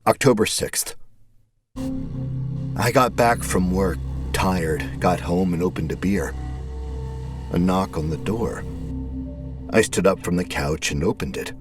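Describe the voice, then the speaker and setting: Low-voiced brooding male storyteller. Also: slow, pauses often. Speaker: narrator. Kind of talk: first-person scripted story narration. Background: background music.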